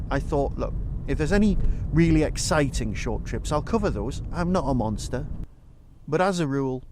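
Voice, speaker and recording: A man's voice: medium pitch, a little mellow, slight background noise. A man hosting a solo podcast in a casual, rambling way, with wry, self-deprecating asides. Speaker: podcast host and reviewer.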